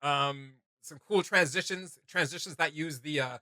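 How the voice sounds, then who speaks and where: A man's voice: on the high side, unhurried, sharp tone, no echo, studio quality. A man chatting casually as a reaction host, rambling enthusiastically as he thinks aloud.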